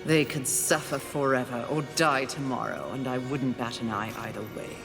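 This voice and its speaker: A woman's voice: extremely low pitch, fairly even intonation, normal speed, gravelly, over music. An actress playing a haughty, scornful female warrior of the apocalypse in a video game cutscene, imperious and dry.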